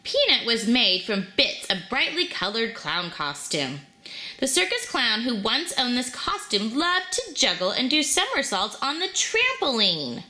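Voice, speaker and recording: A woman reading a children's storybook aloud in an animated, expressive storytelling manner. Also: a little high, unhurried. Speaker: storybook reader.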